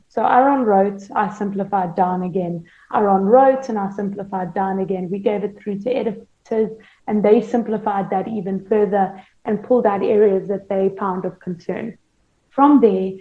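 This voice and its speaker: A woman explaining her work as an interview guest. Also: mid-range voice. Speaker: podcast producer and manager, interview guest. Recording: roomy, dull lo-fi sound.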